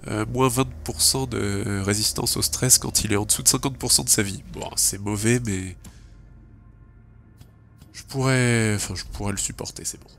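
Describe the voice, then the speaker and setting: Man with a cold, gravelly low voice, casual gameplay commentary, game music behind. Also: fairly even intonation, moderate pace, a bit bright. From French-speaking. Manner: thinks aloud, hesitant fillers, mixes in English game terms. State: has a cold. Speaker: let's play gamer.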